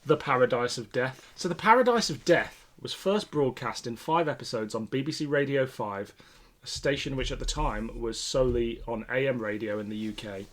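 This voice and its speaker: A British man co-hosting a podcast in a casual, conversational chat style. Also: mid-range voice. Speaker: podcast co-host. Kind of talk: casual chat about classic television sci-fi.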